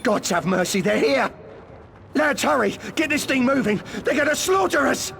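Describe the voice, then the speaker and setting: Panicked high-voiced soldier. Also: male voice, very animated, normal speed, gravelly, a bit bright, heavily acted. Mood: terrified. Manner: frantic, urgent. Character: panicked human foot soldier. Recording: faint noise behind.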